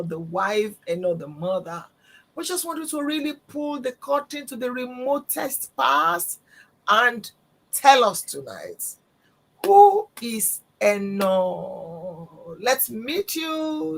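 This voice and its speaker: A woman host with a gravelly, husky voice. She speaks slowly, with her pitch swooping widely, and she repeats phrases for emphasis. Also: fairly bright tone, poor audio. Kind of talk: interview show hosting.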